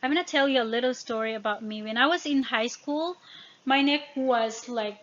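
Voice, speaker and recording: A woman with a fairly high voice and a Filipino accent, a native speaker of Tagalog and Visayan, teaches as she reads through study notes. She stumbles and corrects herself on technical words. The recording is somewhat dull and lo-fi. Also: a bit bright. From Philippines. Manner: halting over technical words, self-correcting. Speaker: educator. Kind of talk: informal lesson narration, reading study notes.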